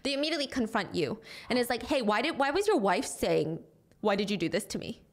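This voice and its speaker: A woman's voice: fairly high pitch, fast talker. Young woman telling a true crime story. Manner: animated storytelling, acting out quotes. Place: small room at home, indoors. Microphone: studio mic on boom arm.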